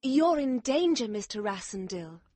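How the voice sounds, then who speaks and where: A man voicing an anxious, lovelorn woman in an audiobook, breathy and nervously urgent. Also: very high-pitched, big pitch swings, a little slow, darkish tone, no echo, pitched above the performer's own voice, heavily acted. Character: anxious, pale, lovelorn woman warning of danger. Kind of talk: audiobook character voice.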